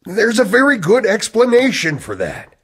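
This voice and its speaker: An actor playing an agitated, earnest man who believes in a dark conspiracy, in a radio-style drama. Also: sits high, wildly swooping pitch, moderate pace, husky, heavily acted. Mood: pleading, agitated. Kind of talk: scripted radio-play acting.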